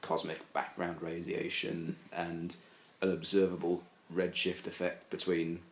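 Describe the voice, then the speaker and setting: A very low-voiced man explains his views in a loose, rambling way, full of fillers and restarts. His voice rings around an echoey room. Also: monotone, unhurried. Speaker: podcast guest. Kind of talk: casual discussion.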